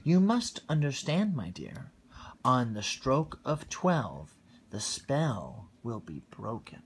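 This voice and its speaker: Man playing wise fairy woman, soft and cautioning, storybook read-aloud. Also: mid-range voice, huge pitch swings, takes it slowly, slight background noise, full character voice. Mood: gently warning. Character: kindly older fairy woman, warm and wise.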